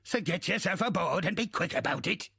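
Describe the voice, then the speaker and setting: An actor playing a gruff, salty old undead pirate captain in a video game, swaggering and theatrical, with crude archaic sailor talk and a husky, gravelly voice. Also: male voice, high-pitched, expressive, fairly quick, sharp tone, dry recording, clean studio sound, exaggerated voice. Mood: grudging, irritated. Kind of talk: video game character acting.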